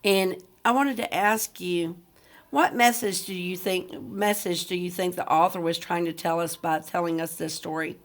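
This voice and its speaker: A woman teacher reading a book aloud to students, speaking directly to them as if leading a discussion. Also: fairly low pitch. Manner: addresses listeners directly with questions. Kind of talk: read-aloud lesson with discussion questions.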